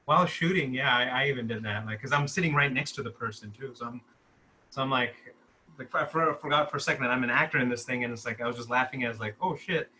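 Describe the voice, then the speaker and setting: A man's voice: mid-range voice, fast talker, sharp tone, slight background noise, low-quality recording. A man talking casually in a group discussion as a filmmaker, explaining his own work. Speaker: filmmaker discussing his short script at a table read.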